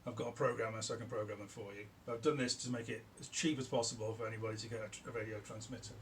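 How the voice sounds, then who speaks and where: A middle-aged man giving a casual, informal product demonstration in a small indoor workshop, explaining haltingly and repeating words for emphasis. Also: fairly low pitch, level tone. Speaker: electronics builder presenting their own product. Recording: clear background noise in a room.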